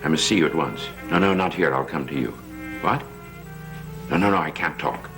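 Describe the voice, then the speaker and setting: An actor playing a courteous, scheming gentleman in a gothic television soap, with a suave, formal, theatrical manner. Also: very deep voice, moderate pace. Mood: urgent, secretive. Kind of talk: 1970s gothic TV soap opera acting. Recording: old TV soundtrack with music underneath.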